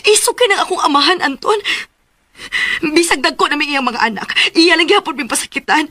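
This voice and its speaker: A woman's voice: sits high, animated, brisk, bright, forceful tone, no echo, clean studio sound. An actress playing an anxious, pleading young woman in a radio drama, with a Cebuano accent. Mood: pleading, distressed. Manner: emotional, pleading.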